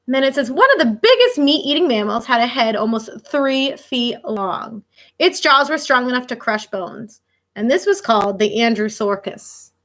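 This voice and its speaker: Bright, high-pitched woman reading to children. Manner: expressive, child-directed, pausing to react and explain. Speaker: elementary school teacher reading a picture book. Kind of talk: read-aloud of a children's nonfiction book with casual asides.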